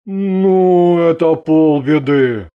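A man voicing a gruff, wry but steady husband and father in a dramatised story. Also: a little high, slow pace, narrow, tinny audio, heavily acted. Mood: calm, resigned.

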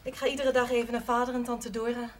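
A woman's voice: on the high side, little pitch movement, fast, lightly acted. A woman playing a resigned, matter-of-fact young wife and mother in a naturalistic television drama. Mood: polite, chatty. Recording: noisy old television drama soundtrack.

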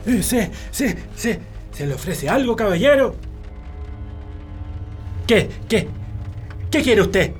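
A man's voice: sits high, very animated, slow, pauses often, exaggerated voice. An actor playing a nervous rural Chilean man who stammers with fright in a radio drama. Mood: frightened. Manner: stammering when scared. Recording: music behind the voice.

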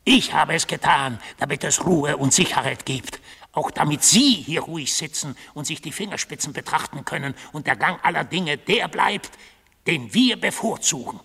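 An actor in a German radio drama playing a mystical god on trial, a fanatical defender of order who speaks solemnly and insistently, at times dropping to a hushed intensity. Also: male voice, fairly high pitch, animated, normal speed, gravelly, husky, full character voice. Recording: long hall-like reverb.

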